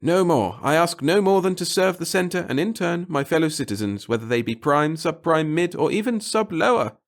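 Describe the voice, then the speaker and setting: A man's voice: fairly high pitch, expressive, moderate pace, pitched above the performer's own voice. A man voicing a servile, fussy little clerk who babbles in flowery, pompous phrases, as a character in a sci-fi audiobook. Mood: pompous, devoted.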